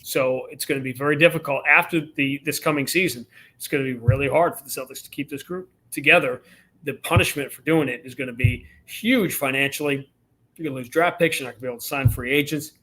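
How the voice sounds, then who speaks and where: A man, a radio play-by-play announcer, giving emphatic sports analysis as a guest, with quick restarts. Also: mid-range voice, bright, forceful tone, poor audio. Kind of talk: casual sports interview.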